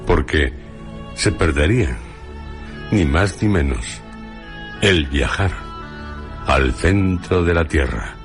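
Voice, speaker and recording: An actor playing a measured male presenter in a scripted radio drama, speaking deliberately in short phrases with a Spain accent. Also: a bass voice, lively intonation, heavily acted. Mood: measured, intrigued. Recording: music under the voice.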